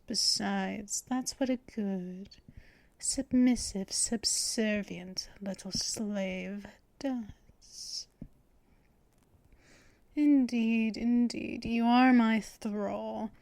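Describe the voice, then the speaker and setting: A woman's voice: fairly high pitch, no room sound, exaggerated voice. A woman playing a whispering, possessive vampire mistress. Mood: menacing, teasing. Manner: slow, breathy half-whispers, soft laughs, teasing and possessive. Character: possessive, teasing female vampire mistress, adult. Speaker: ASMR roleplay performer. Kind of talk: unscripted ASMR roleplay. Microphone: close microphone for headphone listening.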